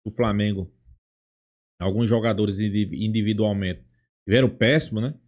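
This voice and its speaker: A man with a Brazilian accent giving casual football fan commentary in a halting way, often adding tag questions. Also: fairly low pitch, steady tone, a little mellow. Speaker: football channel host.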